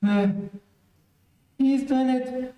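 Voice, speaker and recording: A man playing a dazed, grateful alien prince in an old-time radio serial, halting and awed. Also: extremely high pitch, steady tone, mellow, exaggerated voice. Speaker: amateur radio play actor. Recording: very echoey, distant streamed stage audio.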